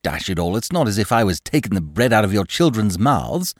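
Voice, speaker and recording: A flippant, cheerful young aristocrat, as a male reader voices him. He talks in a breezy, teasing, sing-song way, and his pitch swoops widely from phrase to phrase. The recording is dry and close. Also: big pitch swings, a little fast, no room sound. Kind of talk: audiobook reading.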